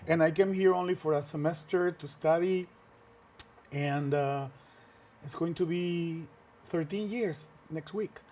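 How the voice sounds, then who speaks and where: A man whose first language is Spanish, a filmmaker and workshop teacher, answering interview questions earnestly with frequent pauses and restarts in a TV studio. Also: fairly high pitch, darkish tone, a little hiss or hum.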